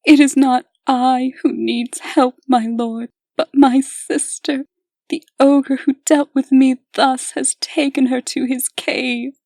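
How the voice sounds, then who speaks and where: A woman playing a soft, pleading young medieval maiden who speaks in a demure, formal, archaic way in a comic dub. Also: sits high, level tone, takes it slowly, vocal fry, dry recording, heavily acted. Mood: pleading, pained.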